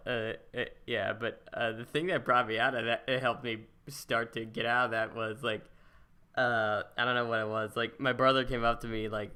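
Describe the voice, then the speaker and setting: Bright, clear-voiced man, rambling casually. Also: mid-range voice. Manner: rambling, halting, repeats words. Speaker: podcast host and interviewer. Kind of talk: casual chat.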